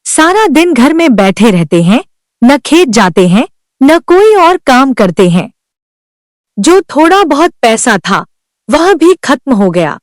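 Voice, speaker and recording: A woman's voice: high voice, big pitch swings, slow, dry recording, studio quality, heavily acted. A woman voicing Sunita, a worn-down young village wife who pleads earnestly for help in a cartoon. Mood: pleading. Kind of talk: Hindi cartoon voice acting.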